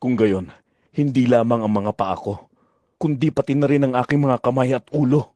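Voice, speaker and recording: An actor playing an earnest, impulsive disciple in an audio Bible drama, with an emphatic, reverent manner. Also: male voice, mid-range voice, steady tone, moderate pace, mellow, no echo, natural voice. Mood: eager.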